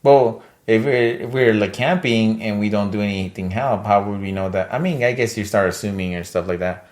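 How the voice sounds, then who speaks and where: A man's voice: on the low side, a little fast, a little mellow. A man co-hosting a podcast in casual chat, talking in a slangy, hesitant, rambling way. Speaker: podcast co-host.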